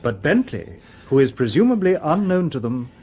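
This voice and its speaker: An actor in a radio drama playing a calm, coolly logical humanoid robot detective with formal, precise speech. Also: male voice, on the high side, moderate pace, breathy edge, exaggerated voice. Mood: calm, probing.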